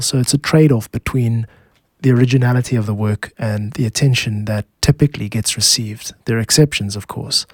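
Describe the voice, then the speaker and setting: A South African man narrates a podcast in a measured, explanatory way. His voice sits at a medium pitch, recorded very dry with no room sound. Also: a little mellow, no room sound. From Cape Town, South Africa. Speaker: podcast host, music producer and composer.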